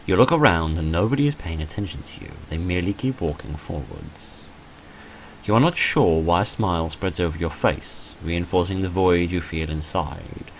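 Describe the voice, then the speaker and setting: A man's voice: sits low, moderate pace, a little hiss or hum, low-quality recording. A man reading visual novel text aloud dramatically, with dry, understated wry commentary in between. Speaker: gamer recording a playthrough.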